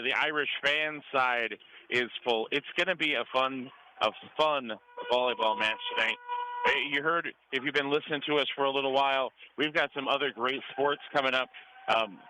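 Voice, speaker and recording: An American man giving conversational play-by-play style pregame commentary for a live high school sports radio broadcast. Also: pushed and bright, low-quality recording.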